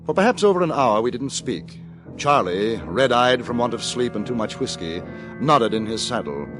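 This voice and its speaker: Actor as understated ex-cavalry officer narrating, old radio western, music underneath. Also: male voice, mid-range voice, sing-song, normal speed, close to an everyday voice. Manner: dry, understated, polished. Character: reserved English ex-cavalry captain, adult man. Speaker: narrator and lead. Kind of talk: 1950s radio drama acting.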